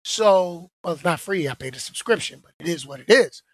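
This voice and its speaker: A man hosting a solo podcast, talking loosely and playfully in slangy, chatty runs. His voice is hoarse from being sick. The sound is close and dry, with no room around it. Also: fairly high pitch, lively intonation, fairly quick, no echo. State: hoarse, under the weather. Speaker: podcast host. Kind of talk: casual solo podcast chat.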